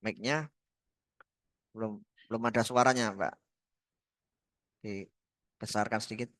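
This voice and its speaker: A male lecturer with a slightly low voice calls on each student in turn with short, polite prompts. The sound is dry and close over a video call. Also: steady tone, slow, fairly bright tone, no room sound. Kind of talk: running class introductions.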